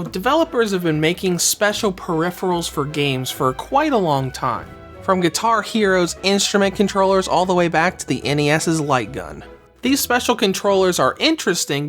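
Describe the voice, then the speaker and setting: A man's voice: fairly high pitch, a bit bright. A man narrating a scripted review of a game controller, a little under the weather, speaking with enthusiasm.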